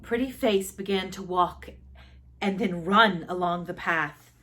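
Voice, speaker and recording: Slow-paced woman reading a storybook. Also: fairly low pitch, fairly bright tone, a little hiss or hum. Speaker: storybook reader. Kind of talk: expressive children's read-aloud narration.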